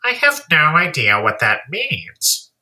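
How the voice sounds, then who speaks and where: Male actor as weary alien fleet commander, audio play, blunt, humorless, exasperated, very animated intonation. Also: a little high, slow pace, no room sound, exaggerated voice. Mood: glum, befuddled.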